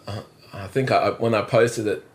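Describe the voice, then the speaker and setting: A man's voice: fairly low pitch, fairly even intonation, normal speed. A man in his thirties with an Australian accent, a drummer talking as a guest in a casual interview, rambling and self-deprecating with lots of restarts. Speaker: drummer and musical director, podcast guest. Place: indoors, on a couch.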